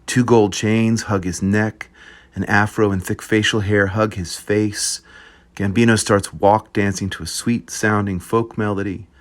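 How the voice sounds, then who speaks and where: Man, very low voice, measured essay reading, descriptive. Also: flat delivery. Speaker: reader at a group reading.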